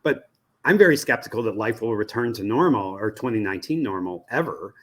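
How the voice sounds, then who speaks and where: Low-voiced older American man, halting analyst. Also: animated, fairly bright tone. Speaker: guest, media research executive. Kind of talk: interview answers, analytical explanation.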